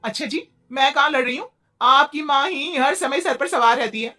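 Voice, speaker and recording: A woman voicing an indignant, sharp-tongued young wife who argues in a Hindi moral-story cartoon. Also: sits high, moderate pace, soft background music. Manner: argumentative, aggrieved.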